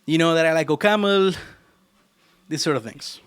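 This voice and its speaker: A man's voice: a little high, moderate pace, smooth. A man from Argentina with an Argentine Spanish accent, chatting casually and teasingly with his live chat while he codes. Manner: playful and teasing, laughs often. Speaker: programming livestreamer.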